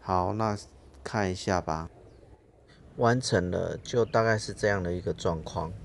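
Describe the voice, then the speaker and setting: A man's voice: deep voice, measured pace, creaky, slight background noise. A man hosting a fishing channel, explaining his rig step by step in a plain casual way outdoors at a harbour. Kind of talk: casual how-to narration.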